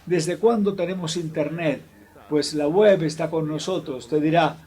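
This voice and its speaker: A man giving a formal introduction before a lecture, speaking in a measured way with an occasional hesitant filler. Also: fairly high pitch, a little mellow, husky. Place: lecture hall.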